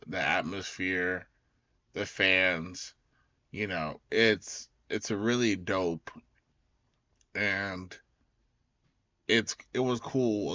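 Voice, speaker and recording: A man hosting his own podcast in a loose, rambling way, his voice raspy as it recovers. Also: lowish voice, sharp tone, dry recording, muffled recording. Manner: loose, rambling, full of fillers and restarts. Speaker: podcast host. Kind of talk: casual solo recap talk.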